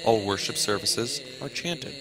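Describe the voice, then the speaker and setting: A man reading a scripted documentary narration in a calm, deliberate way. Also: medium pitch. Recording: music clearly under the voice.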